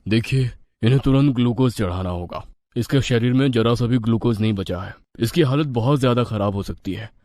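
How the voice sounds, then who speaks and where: A man voicing a serious village doctor in a cartoon story, with a measured, authoritative manner. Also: medium pitch, mellow, dry recording, studio quality, lightly acted. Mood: grave. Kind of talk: cartoon moral story acting.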